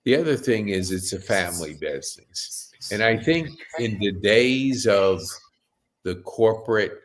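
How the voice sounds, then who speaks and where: A man hosting a podcast interview who talks in a halting way, often restarting his sentences as he introduces his guest. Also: deep voice, a bit bright. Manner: halting, with many restarts and fillers. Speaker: podcast host.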